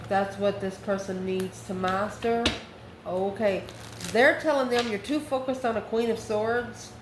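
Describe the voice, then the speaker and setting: Woman reading tarot in an echoey room. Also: mid-range voice. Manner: conversational, thinking aloud in short phrases. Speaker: tarot reader, channel host. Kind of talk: improvised tarot reading, spoken to viewers. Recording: roomy echo, faint background noise.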